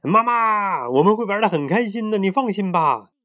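A man voicing an excitable young penguin boy who gushes with eager admiration in a children's story. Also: high-pitched, moderate pace, highs cut off, pitched above the performer's own voice. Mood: excited.

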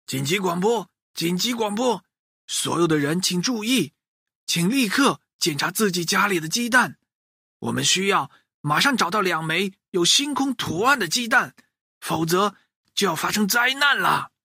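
A man's voice: high voice, expressive, normal speed, bright, forceful tone, breathy edge, dry recording, clean studio sound, voice pushed up, heavily acted. A man voicing an urgent emergency announcer in a children's story, calling out warnings. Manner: announcing, insistent. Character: urgent emergency broadcaster, male adult.

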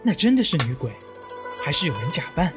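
Guy acting well-bred young master in old china, audio drama, swoopy highish voice, music under it. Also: sing-song, fairly quick. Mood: earnest.

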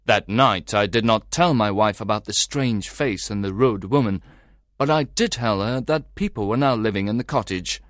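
A man's voice: on the low side, normal speed, fairly bright tone, no echo, studio quality, close to an everyday voice. A man playing a worried, suspicious husband who recounts his troubling story to a detective in a dramatised audiobook. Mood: uneasy, recounting.

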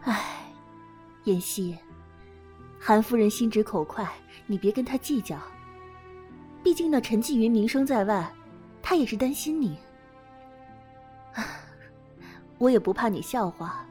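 A woman's voice: on the high side, animated, slow pace, long pauses, darkish tone, soft background music. A woman playing a chatty, loyal married lady friend in a Chinese period audio drama. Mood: confiding.

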